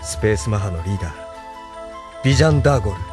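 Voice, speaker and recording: An actor playing an earnest, defiant young idealist hero in an audio drama. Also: male voice, fairly low pitch, lively intonation, rapid-fire, over music, close to an everyday voice. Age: young adult. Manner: earnest, questioning.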